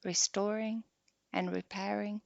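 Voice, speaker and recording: A woman guiding a meditation practice softly and unhurriedly, leaving long pauses between short phrases. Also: medium pitch, level tone, vocal fry, no room sound. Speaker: yoga nidra meditation guide. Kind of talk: slow guided meditation. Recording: dull lo-fi close dry recording.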